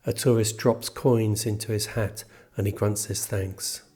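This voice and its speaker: A man narrates literary prose from a script. His voice sits fairly low and has a husky, rough edge to it. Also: steady tone, measured pace, darkish tone, faint music behind. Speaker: reader of a literary extract.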